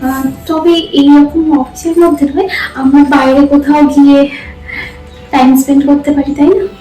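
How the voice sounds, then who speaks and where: A woman's voice: high-pitched, steady tone, normal speed, mellow, close to an everyday voice. A young woman playing a timid, polite office employee in a short-film melodrama, hesitant and deferential. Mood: hesitant, placating. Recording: echoey room, distant mic, faint music.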